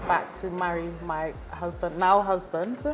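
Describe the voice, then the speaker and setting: A young woman with a Bristol and London English accent, telling her story in a relaxed, casual way. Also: lowish voice, measured pace, a crowd in the background, low-quality recording. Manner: relaxed storytelling, laughs warmly. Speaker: guest. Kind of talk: casual interview chat.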